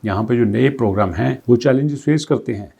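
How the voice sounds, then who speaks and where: A man's voice: mid-range voice, fairly quick, vocal fry, warm tone, slightly rough. A man hosting a crypto news channel, explaining updates conversationally and firing off strings of rhetorical questions. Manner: reads English passages aloud, then explains them conversationally. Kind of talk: explanatory commentary.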